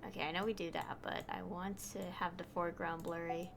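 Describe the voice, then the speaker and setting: A woman streamer chatting casually through a game, easily delighted and quick to laugh. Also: lowish voice, level tone, creaky, background noise. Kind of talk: casual gameplay commentary.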